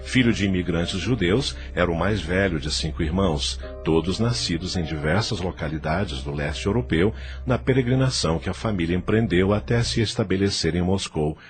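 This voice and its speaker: A man's voice: low voice, normal speed, hardly any pauses. A man with a Brazilian accent reading scripted literary narration for an audio novel. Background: music.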